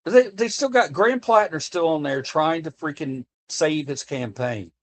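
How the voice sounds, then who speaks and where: A man's voice: a little high, normal speed. An American man streaming live and chatting to his viewers in a casual, impassioned way, often restarting and repeating himself. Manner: earnest, impassioned, repeats himself while speaking off the cuff. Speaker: livestreamer talking to chat. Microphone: streaming desk mic.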